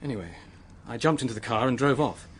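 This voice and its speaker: An actor playing a distraught young British man in a radio drama, confessing to an accidental killing in a haunted, halting manner. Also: mid-range voice, slight background noise, close to an everyday voice. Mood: tense recounting.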